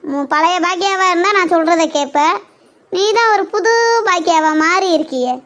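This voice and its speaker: Lady doing a grumpy older cartoon mother-in-law, really really high voice, sarcastic and naggy. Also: normal speed, fairly bright tone. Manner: sarcastic, nagging. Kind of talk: cartoon parody dialogue.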